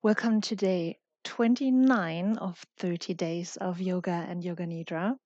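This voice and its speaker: A woman leading a guided yoga class as the teacher, calm and gentle, speaking in short instructions with long pauses. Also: on the low side, no room sound, dull audio, faint music behind.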